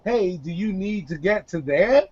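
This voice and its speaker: Brief: young New man, video-call host, lively and foul-mouthed, dry lo-fi headset sound. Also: a little slow, dry recording. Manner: animated and profane, swings between low and high voice. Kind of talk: casual chat on a video call. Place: small home room indoors.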